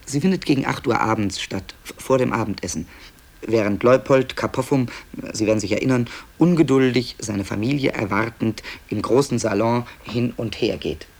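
A man's voice: mid-range voice, normal speed, lightly acted. A man narrating a 1960s radio play as a dryly ironic, confiding author who hesitates and stammers. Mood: dryly explanatory. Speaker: narrator presenting tape recordings.

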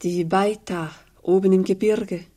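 A woman's voice: mid-range voice, steady tone, unhurried, dark tone, lightly acted. An actress playing a poor, pious migrant peasant woman in a German radio play, weary and plain-spoken. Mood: weary, bleak.

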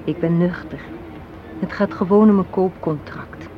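An actress playing a sharp-tongued, unsentimental young woman in a radio play, sardonic and matter-of-fact as she argues. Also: lowish voice, unhurried, natural voice. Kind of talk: Dutch radio drama acting. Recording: muffled, noisy old radio play recording.

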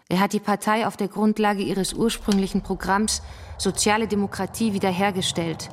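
A woman's voice: medium pitch, a little hiss or hum, lightly acted. An actress playing a fervent revolutionary woman in a German radio drama, arguing in a scornful, didactic way. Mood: combative, lecturing. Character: fervent, combative revolutionary woman defending the workers' councils. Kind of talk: German radio drama dialogue, polemical debate.

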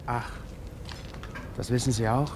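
A man's voice: medium pitch, fairly even intonation, a little slow, a little mellow, lightly acted. Man acting a weary burglar on noisy radio. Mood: resigned. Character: weary male burglar confessing, resigned, self-justifying. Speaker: actor in a radio play. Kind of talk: 1950s German radio drama dialogue. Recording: noisy 1950s radio recording.

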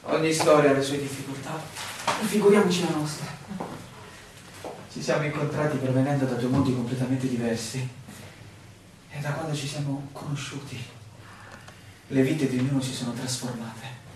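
A man's voice: mid-range voice, steady tone, moderate pace, long pauses, lightly acted. Echoey, distant, weary man acted pleading for answers. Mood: anxious, persuasive. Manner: theatrical, searching, full of questions. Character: weary, bewildered male land surveyor pleading for answers. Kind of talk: Italian stage-style radio drama acting. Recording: very echoey, distant, clearly noisy room recording.

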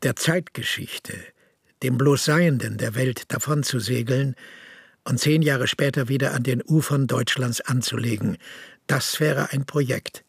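Gravelly, very husky man reciting. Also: medium pitch, unhurried, no room sound, clean studio sound. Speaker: reader in a radio play. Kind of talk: literary recitation.

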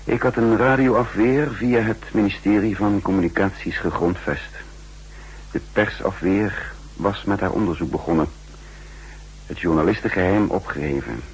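Shrewd spymaster for vintage radio play: low, very husky, clipped and ironic delivery. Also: male voice, moderate pace, rough recording. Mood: brooding, tense. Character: shrewd, sardonic male counter-espionage chief, narrating his story. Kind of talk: 1960s Dutch radio drama acting, dramatic narration.

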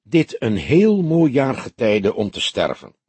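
A man voicing an old police detective in an audiobook, solemn, philosophical and gentle, speaking in a grave, measured, lecturing way. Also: medium pitch, wildly swooping pitch, slightly rough, no room sound, pitched above the performer's own voice, heavily acted. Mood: tender. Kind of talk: audiobook character dialogue.

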